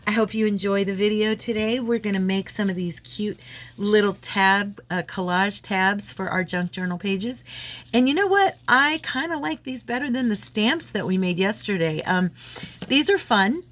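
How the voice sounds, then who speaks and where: A woman's voice: medium pitch, animated, normal speed, a bit bright. A woman hosting a crafting vlog at her home craft desk, chatting casually with lots of fillers while slightly froggy from a head cold. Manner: rambling, friendly, many fillers and restarts. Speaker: crafting channel host.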